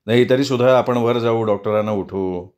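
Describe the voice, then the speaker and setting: A man voicing a composed detective who speaks low and measured. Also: a little mellow, close to an everyday voice. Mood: calm. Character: calm male detective, steady and firm.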